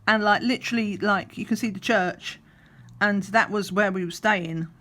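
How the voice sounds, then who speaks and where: A middle-aged woman, slightly croaky, chatting in a rambling way while doing a craft, sometimes counting under her breath. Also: medium pitch, fairly even intonation, moderate pace, a bit bright. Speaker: crafting vlogger. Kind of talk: relaxed chatty craft vlog. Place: at a craft table indoors.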